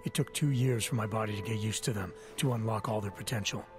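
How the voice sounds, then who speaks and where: A guarded augmented agent who answers in short, clipped lines, as a man plays him. His voice sits low. Music plays clearly behind him through the game cutscene. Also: fast talker, natural voice. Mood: terse. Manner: terse, guarded questions.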